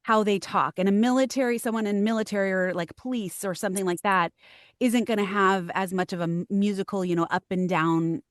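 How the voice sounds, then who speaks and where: A woman voiceover actress explains her work in a relaxed podcast chat. She pauses to think with frequent ums. Her voice is middle in pitch, and the recording is close and dry. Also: no room sound. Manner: thoughtful, many ums, light laughs. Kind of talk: casual interview chat.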